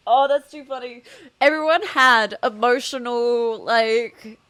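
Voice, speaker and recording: A woman's voice: a little high, steady tone, takes it slowly, fairly bright tone, clear, resonant tone. A tearful, emotional woman streamer chatting casually as she reacts to a game. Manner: emotional, giggly, exclamatory. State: tearful from crying. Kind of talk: live playthrough reaction, casual chat.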